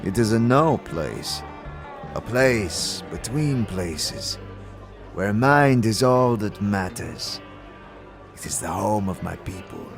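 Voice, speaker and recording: A sly, menacing otherworldly warrior, played by a male actor in a fantasy audio drama. The voice is very low and slow, with formal, theatrical phrasing that swings widely in pitch. Music plays behind it. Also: animated. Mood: mysterious, bargaining. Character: sly, menacing Githyanki warrior offering memory bargains.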